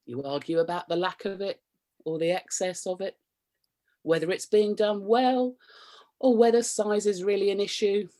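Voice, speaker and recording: A woman's voice: medium pitch, fairly even intonation, normal speed, mellow, lightly acted. An actress with a British accent playing a prim, flustered married woman, coy and curious, in a radio comedy. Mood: disdainful. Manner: coy, flustered, innuendo-laden delivery with nervous chuckles. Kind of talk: radio play comedy acting, confessional monologue and banter.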